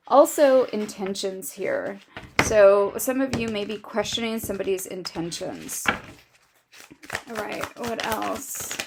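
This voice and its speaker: Slow, soft-voiced woman reading tarot. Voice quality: soft, low-volume voice. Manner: slow, musing, thinking aloud with fillers. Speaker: tarot reader. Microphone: microphone right in front of her.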